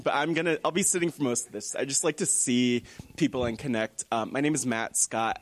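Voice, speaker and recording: A man presenting informally at a live event, friendly and thinking aloud as he goes. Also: fairly low pitch. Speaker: nonprofit storytelling director presenting.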